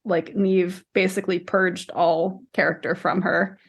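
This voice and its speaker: A woman's voice: on the low side, little pitch movement, a little slow, creaky, husky. Female podcast co-host, conversational, argumentative but careful to qualify what she says. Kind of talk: casual book discussion.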